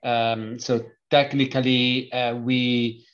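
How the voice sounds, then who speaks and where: A middle-aged man from Italy with an Italian accent, a company founder speaking as an interview guest, pausing often with filler sounds and restarts. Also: medium pitch, little pitch movement, dull audio. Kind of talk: conversational interview.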